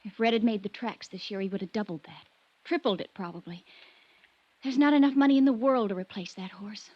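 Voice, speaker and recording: A woman's voice: mid-range voice, fairly quick, dry recording, close to an everyday voice. A woman acting a defiant, headstrong young rancher's daughter in an old-time radio drama. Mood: cool, probing. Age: young adult. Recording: muffled vintage radio recording.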